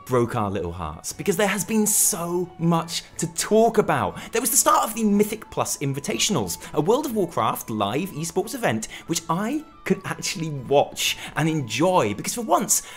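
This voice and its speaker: A man's voice: on the high side, huge pitch swings, normal speed, few pauses. A British man hosting a gaming news show with scripted comic commentary in a theatrical, sarcastic manner. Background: background music.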